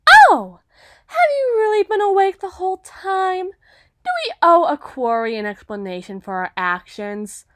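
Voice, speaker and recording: A woman's voice: very high voice, lively intonation, measured pace, a bit bright, no room sound, full character voice. A woman playing a condescending, possessive aristocratic huntress in a dramatic audio roleplay, speaking in formal, emphatic, theatrical phrases. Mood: taunting.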